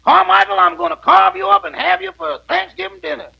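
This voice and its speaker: An actor playing a menacing valet who stalks his victim with a razor, with a gravelly, drawling voice in a 1930s radio comedy. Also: very high-pitched, brisk, full character voice. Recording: dull old radio broadcast.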